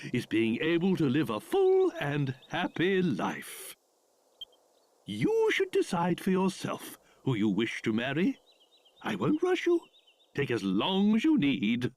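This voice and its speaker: A man playing a kindly, indulgent royal father in a video game, middle-aged, with an expressive, deliberate delivery. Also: high-pitched, no echo, exaggerated voice. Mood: indulgent, wry. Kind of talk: video game cutscene acting.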